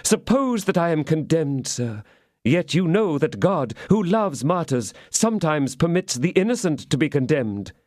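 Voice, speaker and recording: A man's voice: fairly high pitch, sing-song, unhurried, a little mellow, no echo, clean studio sound, pitched above the performer's own voice. A man voicing a scheming woman prisoner who pleads and prays in feigned, sobbing despair. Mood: pleading.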